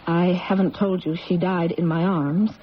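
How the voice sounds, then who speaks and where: Actress as an earnest, low-voiced troubled young woman. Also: steady tone, a little slow, natural voice. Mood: haunted. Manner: earnest, intense, dramatic. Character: earnest, troubled adopted young woman seeking her origins. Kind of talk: 1970s radio drama acting. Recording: muffled, noisy old radio broadcast.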